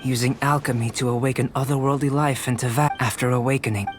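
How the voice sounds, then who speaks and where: A man voicing a calm, curious young male alchemist in a video game, with a measured, detached manner of musing aloud. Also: mid-range voice, noisy background, lightly acted. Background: game music.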